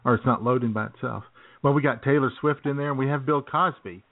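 A man's voice: medium pitch, fast. Man joking on tinny, phone-like radio audio. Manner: teasing, joking. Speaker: comedy radio show co-host. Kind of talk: casual comedic banter.

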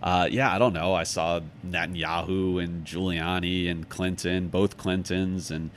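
A man with a fairly low voice and an American accent chats as an interview guest. He speaks in a thoughtful, halting way, often correcting himself. There is clear noise behind him. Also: level tone. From Chicago, United States. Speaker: author and podcast guest. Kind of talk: casual interview conversation, anecdotes and reflective advice.

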